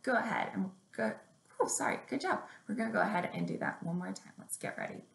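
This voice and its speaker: A young woman leading a story time for small children, reading aloud and giving simple instructions in a measured, deliberate way. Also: mid-range voice, lively intonation, gravelly. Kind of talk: picture-book reading and finger plays for young children. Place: small room indoors at home or office. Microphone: webcam or laptop mic at arm's length. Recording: roomy, echoing webcam sound.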